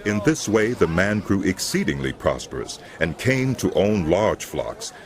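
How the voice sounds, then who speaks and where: A man's voice: deep voice, sing-song, a little slow, crowd noise. A man giving scripted narration for a dramatized audio Bible.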